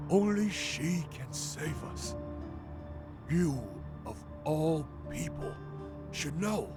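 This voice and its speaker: An actor playing a wounded, bitter rebel man in a video game, menacing and pleading as he accuses. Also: medium pitch. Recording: music behind the voice.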